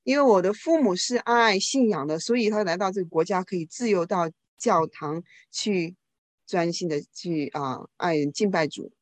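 A woman interpreting a speaker's talk into another language live, quickly and informally, often correcting herself. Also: fairly low pitch.

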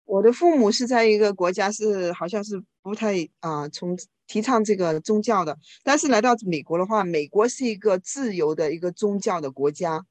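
A woman interpreting a speaker's talk into another language live, quickly and informally, often correcting herself. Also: medium pitch, a little mellow.

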